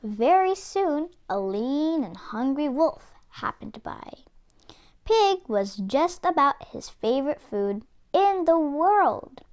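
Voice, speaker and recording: High, animated woman storyteller, unhurried. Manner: expressive, deliberate storytelling. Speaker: read-aloud host, storyteller. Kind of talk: scripted children's story narration.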